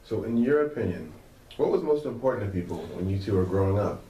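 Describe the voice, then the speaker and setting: A man interviewing elders for an oral history project, asking questions slowly and deliberately. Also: lowish voice, lively intonation, dark tone, dull audio. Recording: echoey room, distant from mic, faint noise.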